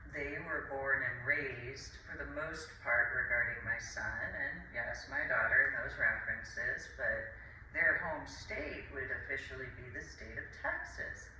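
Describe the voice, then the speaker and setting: A middle-aged woman from New Jersey with an American accent gives a rambling vlog monologue in halting, roundabout, formally worded phrases. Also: a bass voice, bright, forceful tone. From New Jersey, United States. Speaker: video creator. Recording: roomy echo, distant mic, faint noise.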